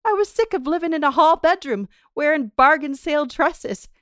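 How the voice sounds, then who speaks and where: A woman's voice: sits high, expressive, normal speed, a bit bright, no echo, studio quality. A woman playing a scornful, taunting gold-digging wife in a theatrical reading of a 1930s radio melodrama, with slangy delivery. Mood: mocking scorn. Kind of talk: 1930s radio horror melodrama table read.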